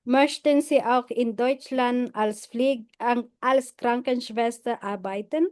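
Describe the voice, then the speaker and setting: A woman's voice: on the high side, slow pace, darkish tone, smooth, dry recording, studio quality. A woman teaching German, patiently rephrasing her questions and switching to Tagalog to help a student. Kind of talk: classroom questioning.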